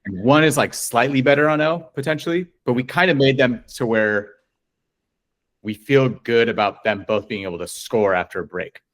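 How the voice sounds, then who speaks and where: A man's voice: mid-range voice, moderate pace, fairly bright tone. A man of forty talking casually as a guest in an interview. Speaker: interview guest, coach and player. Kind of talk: casual chat.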